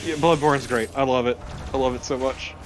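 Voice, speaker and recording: A man's voice: medium pitch, fairly even intonation, fairly quick, a little hiss or hum. A man chatting casually as a co-commentator on a gameplay video. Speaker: let's play co-commentator. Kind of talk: casual chat. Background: video game music.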